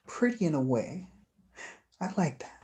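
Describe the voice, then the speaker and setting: Mild, wistful young man, low mellow voice played by a woman. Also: sing-song, a little slow. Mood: mildly hurt. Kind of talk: staged play reading, naturalistic dialogue.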